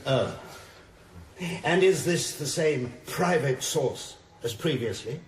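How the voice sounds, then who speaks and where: An actor playing a sardonic, needling defence barrister who questions formally and insistently in a radio drama. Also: male voice, fairly high pitch, expressive, slow pace, reverberant, slight background noise. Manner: formal, theatrical, insistent cross-examination with mock courtesy.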